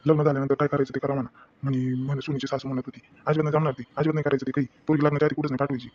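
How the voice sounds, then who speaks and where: A man playing a blunt, stubborn village husband in an acted family story, repeating himself in a colloquial rural Maharashtrian accent with a husky voice. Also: mid-range voice, flat delivery, rapid-fire, a little mellow, dull audio, a little hiss or hum, lightly acted. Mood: firm refusal.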